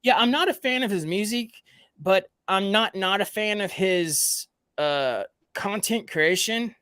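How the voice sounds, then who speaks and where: A man's voice: high-pitched, unhurried, pushed and bright, smooth, dry recording, studio quality. A man from the American South, hosting a casual livestream podcast chat, rambling and repeating himself as he talks to his viewers. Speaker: podcast host.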